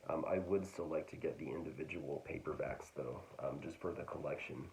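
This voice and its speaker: Low, gravelly man with hesitant chat. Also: brisk, darkish tone, a little hiss or hum, rough recording. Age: adult. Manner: hesitant, full of ums and restarts. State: getting raspy, clearing throat. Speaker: book vlogger. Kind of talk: casual book haul talk.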